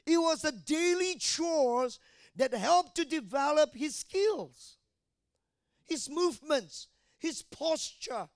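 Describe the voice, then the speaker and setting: High-voiced Malaysian pastor preaching. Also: male voice, animated, slow pace, no room sound, studio quality. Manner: asks the congregation questions often. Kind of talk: sermon.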